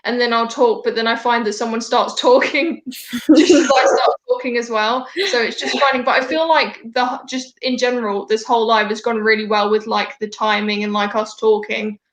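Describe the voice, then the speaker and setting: A woman's voice: a little high, fairly even intonation, normal speed, roomy sound, low-quality recording. A young woman hosting a casual video call chat, talking at length with many fillers and restarts. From Denmark. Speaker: host.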